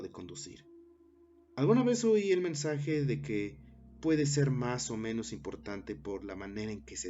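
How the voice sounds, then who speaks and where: A man narrating a scary story in a measured way, with dramatic pauses. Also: on the low side. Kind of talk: scripted horror story narration. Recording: dull lo-fi recording with music underneath.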